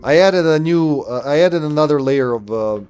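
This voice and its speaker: A man's voice: a little high, lively intonation, moderate pace, darkish tone, smooth, muffled recording, soft background music. Chatty man in his late 30s streaming a game. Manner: chatty, thinks aloud and answers chat. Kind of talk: live gameplay commentary.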